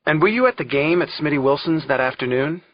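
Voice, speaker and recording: A man playing a male trial lawyer who questions a witness in a measured, formal way. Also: fairly high pitch, expressive, no echo, highs cut off, pitched above the performer's own voice. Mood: probing, controlled.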